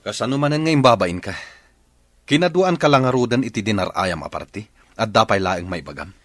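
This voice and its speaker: A man's voice: fairly low pitch, expressive, brisk, fairly bright tone, no echo. A man voicing a husband who is tired of his marriage and speaks curtly. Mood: weary. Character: weary husband, cold and done with his marriage. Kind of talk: radio drama acting.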